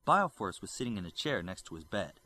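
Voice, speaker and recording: A man reading a superhero novel aloud as its narrator, with an expressive delivery. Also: fairly low pitch, fairly quick, a little hiss or hum. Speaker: audiobook reader. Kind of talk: audiobook narration.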